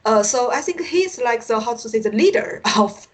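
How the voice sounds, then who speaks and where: A woman from China with a Chinese accent, a native Mandarin speaker, answering interview questions as an academic guest, haltingly searching for words. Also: fairly high pitch, expressive. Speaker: interview guest, university teacher and researcher. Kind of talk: unscripted interview conversation.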